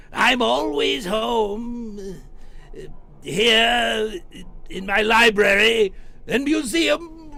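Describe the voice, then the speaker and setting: A man's voice: extremely high pitch, gravelly, bright, forceful tone, voice pushed up, full character voice. A man playing a ghoulish, eccentric museum curator who puns and stammers theatrically as a 1940s-style horror radio host. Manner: theatrical, halting, drawn-out delivery with stammering asides.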